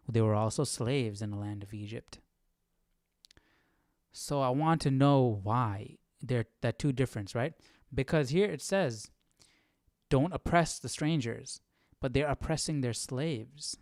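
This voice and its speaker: A man's voice: medium pitch, darkish tone, no room sound, clean studio sound. A man hosting a casual Bible study livestream, thinking aloud in a musing, questioning way. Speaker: host of a live Bible study.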